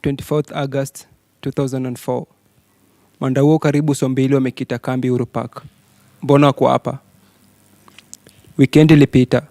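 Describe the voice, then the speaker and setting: A man's voice: medium pitch, level tone, a little fast, pauses often, warm tone, no echo. A man with a Kenyan accent reading a written narrative aloud. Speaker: writer reading his piece. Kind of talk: scripted narration read aloud in Sheng.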